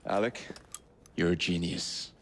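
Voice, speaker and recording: A man's voice: deep voice, steady tone, a little slow, a bit bright, a little hiss or hum. A man acting as a cocky, wry young Victorian rogue in a game cutscene, teasing and nonchalant.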